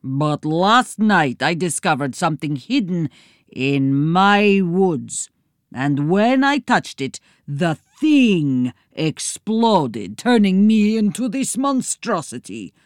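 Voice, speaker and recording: A woman voicing a pompous, menacing male lord of thieves with a booming Eastern European accent, in a storybook reading. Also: big pitch swings, slow pace, dry recording, studio quality. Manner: pompous, theatrical.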